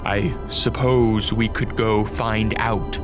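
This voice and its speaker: A man playing a cautious, courteous vampire count in a radio drama, restrained and deliberate in manner. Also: lowish voice, flat delivery. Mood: composed. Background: dramatic music.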